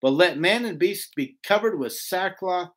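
A man's voice: high-pitched, expressive, moderate pace, fairly bright tone. A man giving an informal Bible lesson, reading and explaining scripture in an emphatic, rhetorical way that keeps checking for agreement. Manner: emphatic, rhetorical, often seeking agreement with "right?". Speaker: Bible teacher.

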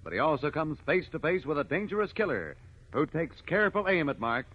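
A man's voice: a little high, sing-song, a little fast, heavy background noise. A man narrating a 1950s radio adventure serial in a dramatic, declamatory way. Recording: dull old radio recording with loud music.

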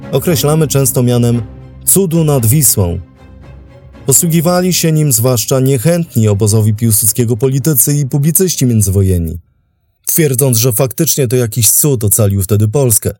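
A man's voice: medium pitch, animated, normal speed, dark tone, soft background music. A man narrating a scripted historical documentary. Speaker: host and narrator of a history podcast.

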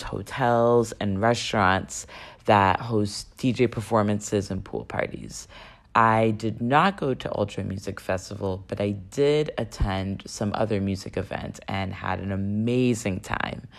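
Slightly raspy woman hosting a podcast. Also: rumbling low. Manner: chatty, poses questions to listeners. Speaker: podcast host. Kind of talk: solo podcast monologue.

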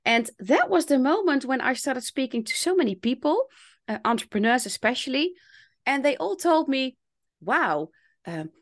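A woman's voice: high-pitched, very animated, normal speed. A woman in her forties with a Dutch accent, a former teacher now an English coach, thoughtfully telling her story in a podcast interview. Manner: reflective, speaks in long runs with quoted inner thoughts.

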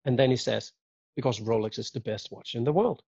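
A man chatting casually as a podcast guest, joking and telling stories in a lively way. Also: medium pitch, fairly quick, gravelly, slightly rough, no room sound. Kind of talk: casual chat. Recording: dull lo-fi audio.

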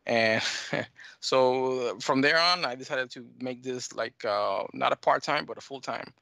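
A middle-aged man with a mixed Dominican and American accent, answering interview questions in halting, conversational phrases. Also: mid-range voice, gravelly, sharp tone, no echo. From United States and Dominican Republic. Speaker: talk show guest, flight sim scenery developer.

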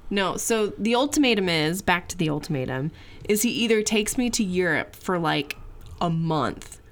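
A woman in her thirties co-hosting a podcast, chatting and teasing in a relaxed way with lots of "like". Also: lowish voice, normal speed. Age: 30s. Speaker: podcast co-host. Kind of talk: casual couple chat.

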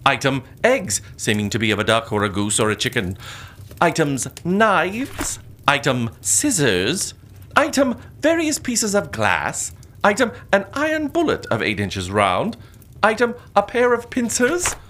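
Officious, animated Puritan clerk. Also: male voice, a little high, measured pace, slight background noise, full character voice. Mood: solemn, officious. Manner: formal, list-like recitation. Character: officious Puritan clerk reading out witchcraft charges. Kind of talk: comedic audio drama acting in archaic English.

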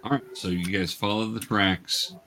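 A man working as game master, narrating a tabletop game to young players in a halting, explanatory way. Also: on the low side, fairly even intonation, bright, forceful tone. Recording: clear background noise, clicks.

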